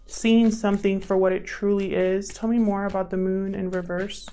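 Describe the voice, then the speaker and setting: A woman's voice: mid-range voice, flat delivery, moderate pace, dark tone. A woman giving a casual, improvised tarot reading, thinking aloud as she talks to the cards and to her viewers. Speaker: tarot reader, channel host. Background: background music.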